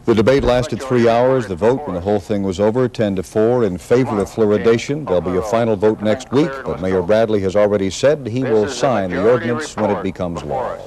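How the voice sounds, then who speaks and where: A man's voice: on the low side, brisk, hardly any pauses, crowd noise, low-quality recording. A man reading the evening news as a studio anchor, taped off air in the 1970s. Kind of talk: TV news read.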